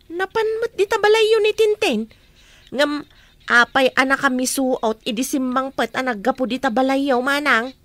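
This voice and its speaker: A concerned female relative in a radio drama. Her voice is high, and she speaks with questioning insistence. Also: moderate pace, fairly bright tone, dry recording. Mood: reproachful, questioning.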